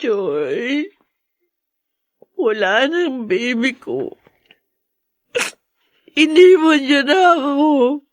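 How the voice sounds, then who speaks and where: A woman's voice: high voice, very animated, drawn-out words, pauses often, heavily acted. A woman playing a worried, long-suffering young wife and mother in a melodramatic radio drama. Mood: grieving. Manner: earnest, melodramatic. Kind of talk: Tagalog radio drama acting.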